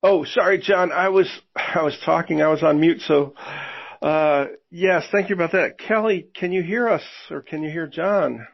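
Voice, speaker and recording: A man moderating a live webinar, flustered and halting as he checks whether the guests can hear. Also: fairly high pitch, creaky, highs cut off.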